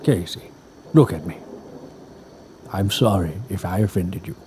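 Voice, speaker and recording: An actor playing an aging, obsessive scholar who speaks in a formal, theatrical way. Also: male voice, low-pitched, lively intonation, a little slow, darkish tone, a little hiss or hum. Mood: cool. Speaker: doctor employer. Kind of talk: audio drama acting.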